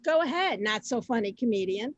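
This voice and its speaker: A woman with a high voice moderates a community show on a video call. She is flustered and halting, repeats herself, and sounds close and dry. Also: lively intonation, dry recording, dull audio. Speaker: host of a community reader's theater show. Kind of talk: semi-scripted moderating.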